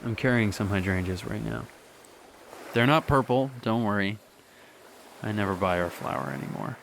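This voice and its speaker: A man's voice: on the low side, normal speed, gravelly, slight background noise. A man playing a wistful young man by the sea. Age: young adult. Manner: soft, wistful, reflective, with quiet laughs. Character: young man Adam speaking to a lost love. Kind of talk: radio drama monologue.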